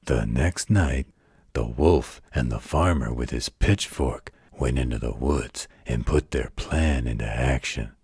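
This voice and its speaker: An extremely low, heavily rasping werewolf played by a man, gruff but gentle and protective. He speaks in a folksy, unhurried way, as in a bedtime roleplay audio, close and dry. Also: no room sound, full character voice. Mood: storytelling. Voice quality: deep, gravelly, husky. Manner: folksy, slow, patient drawl with colloquial turns.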